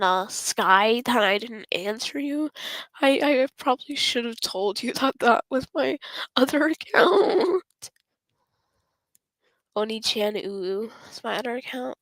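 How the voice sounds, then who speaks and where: A woman streaming a game, her voice hoarse and going raspy, rambling and often apologizing. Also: a little high, fairly bright tone, no echo. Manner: rambling, apologetic, self-interrupting. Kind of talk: casual gameplay stream chat.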